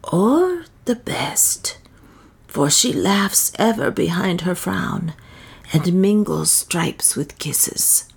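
Brief: old wanderer woman, frail and pleading, gravelly breathy whisper, slow and low, as a woman reader puts her on. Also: lively intonation, exaggerated voice. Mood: earnest. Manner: archaic, pleading, half-whispered speech. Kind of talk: audiobook character reading.

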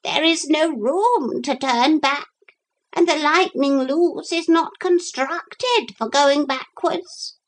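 A woman's voice: high-pitched, slow pace, pitched above the performer's own voice. A woman voicing a prim, worldly-wise talking parrot in a children's story, with formal, precise pronouncements. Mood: grave, resigned.

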